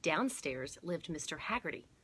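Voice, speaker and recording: A woman hosting a children's storytime, reading aloud and pausing to explain and point things out to her listeners. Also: sits low, very animated, a bit bright, husky. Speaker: storytime host at a museum garden. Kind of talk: read-aloud storytime for children with chatty asides.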